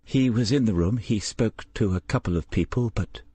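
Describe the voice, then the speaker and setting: A man's voice: fairly low pitch, warm tone, husky, dry recording, pitched above the performer's own voice. A man voicing a stunned, hesitant woman manager in an audiobook. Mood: stunned, halting. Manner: halting, stunned. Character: shaken, hesitant woman care home manager.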